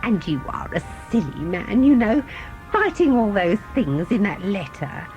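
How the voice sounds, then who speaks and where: A woman playing a fussy, affectionate wife in an audio drama, coy, chatty and gently scolding. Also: medium pitch, sing-song, unhurried, faint music behind, poor audio. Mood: fond scolding.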